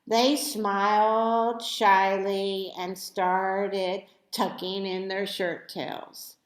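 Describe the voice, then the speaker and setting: A woman narrates a children's story in an echoey room. She takes it very slowly, with deliberate, dramatic phrasing and an expressive delivery at an average pitch. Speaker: storybook reader.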